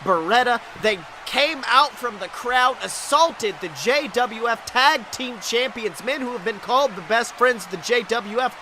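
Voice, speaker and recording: A man playing a folksy, hyped wrestling announcer with a drawn-out showman delivery. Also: extremely high pitch, background noise. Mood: chummy. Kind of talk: wrestling show commentary.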